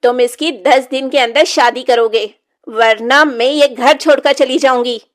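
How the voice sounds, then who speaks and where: A middle-aged village stepmother played by a woman from a moral-story cartoon. Her voice sits high, and she scolds harshly, taunting and threatening as a cruel tyrant of the house. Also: measured pace. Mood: furious.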